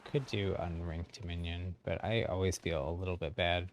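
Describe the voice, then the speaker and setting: A man chatting casually on a game livestream, thinking aloud in a halting way. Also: low-pitched, fairly even intonation, a little hiss or hum. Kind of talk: casual live gaming chat.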